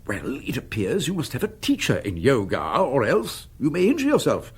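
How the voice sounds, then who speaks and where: Fussy, husky, swooping husband. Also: male voice, medium pitch, huge pitch swings, normal speed, full character voice. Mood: hesitant, gossipy. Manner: hesitant, fussy, deferential. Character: fussy, devoted, precious husband with poetic pretensions. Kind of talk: British radio comedy drama acting.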